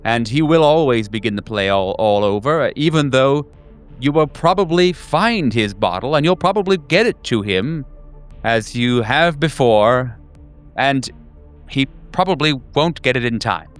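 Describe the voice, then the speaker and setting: A man's voice: mid-range voice, expressive, clear, resonant tone, natural voice. A man voicing a cryptic, philosophical servant of a cosmic king in an improvised tabletop horror game. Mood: ominous, cryptic. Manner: measured, philosophical, enigmatic. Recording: music bed behind the voice.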